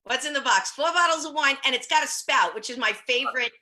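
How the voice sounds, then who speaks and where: Bubbly woman host on a video call, bright chatty delivery. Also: high voice, fast talker. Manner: bubbly, chatty, laughs easily. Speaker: podcast host. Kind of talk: casual video-call chat show.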